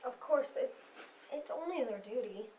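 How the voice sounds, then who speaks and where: A woman's voice: sits high, expressive, normal speed, a little mellow, low-quality recording. A woman acting in a stage play as a timid, dutiful wife with a hesitant, deferential manner. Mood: hesitant, mild. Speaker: stage actor. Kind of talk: amateur stage play dialogue. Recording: faint background room noise.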